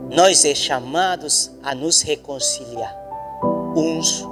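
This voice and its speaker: A man's voice: a little high, takes it slowly, loud music behind the voice. A pastor preaching a sermon in a church, emphatic and repetitive, speaking straight to his congregation. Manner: addresses the congregation directly.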